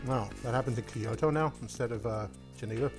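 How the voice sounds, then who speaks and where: A man with an ordinary mid-range voice plays through a video game and chats in a relaxed way. He mostly answers with short reactions. Game music sits clearly in the background. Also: medium pitch, brisk. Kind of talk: casual game commentary.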